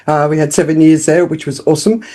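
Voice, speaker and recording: A woman with an Australian accent, a founder speaking as a podcast guest in a frank, lively, conversational way. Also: sits low, fast talker. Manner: lively and frank, with frequent restarts and fillers. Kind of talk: casual interview talk.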